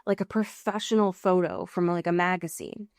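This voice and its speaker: A woman's voice: lowish voice, moderate pace, no room sound, clean studio sound. A woman co-hosting a podcast in casual, conversational chat. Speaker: podcast co-host.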